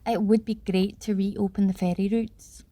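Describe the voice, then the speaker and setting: A woman acting a practical, quietly doubtful parliament delegate in a radio play, measured and formal. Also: medium pitch, flat delivery, dry recording, lightly acted.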